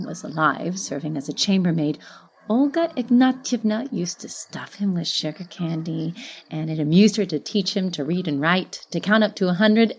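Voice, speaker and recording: A woman narrating a literary short story expressively, in a measured way with breathy, hushed phrases. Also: on the low side. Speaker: audiobook narrator.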